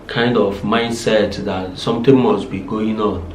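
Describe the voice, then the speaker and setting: A man with a Nigerian accent and a low voice talks casually with his partner, pushing his views through pointed rhetorical questions. The room is echoey, with a faint hiss underneath. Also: steady tone, measured pace. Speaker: co-host, husband. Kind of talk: casual chat.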